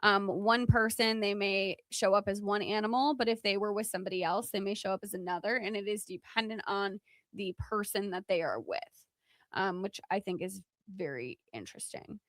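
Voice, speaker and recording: A woman's voice: medium pitch, moderate pace, a bit bright, no echo. A woman co-hosting a podcast, explaining folklore from notes in an informal, chatty way. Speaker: podcast co-host.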